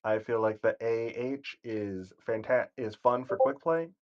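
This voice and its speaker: A man giving casual, rambling commentary on a game stream, with a calm, soothing voice and frequent self-corrections. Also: medium pitch, a little slow, dull audio. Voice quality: soothing, sleep-inducing voice.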